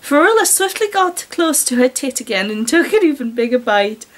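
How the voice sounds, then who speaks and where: A woman with a high-pitched British voice reads a story aloud in an amateur style. She is giggly and openly incredulous, breaking into sarcastic commentary on what she reads. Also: big pitch swings, normal speed. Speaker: reader and commentator. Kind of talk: amateur story reading with mocking asides.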